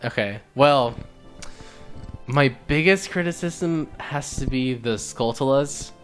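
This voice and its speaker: A man with an average-pitched voice chats as a Let's Play co-commentator. His manner is wry and questioning, a bit nitpicky, and game music plays behind him. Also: slow pace. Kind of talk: casual banter over game cutscenes.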